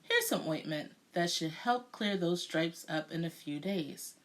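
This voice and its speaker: A woman reading a children's storybook as a brisk, puzzled male doctor, emphatic and matter-of-fact. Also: on the low side, expressive, fairly bright tone, pitched below the performer's own voice. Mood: businesslike. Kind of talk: children's storybook read-aloud.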